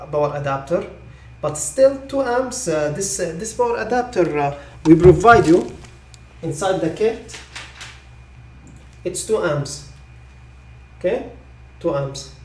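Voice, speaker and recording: A man who is a non-native English speaker giving live technical training, repeating his points reassuringly and often checking with a quick okay. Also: fairly high pitch, animated. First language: not English. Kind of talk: live online product training. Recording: echoey room, distant microphone.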